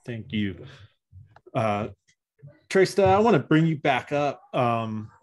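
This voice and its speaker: A man moderating an online panel, friendly and halting, with many fillers as he hands over to the next speaker. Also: mid-range voice, big pitch swings, darkish tone.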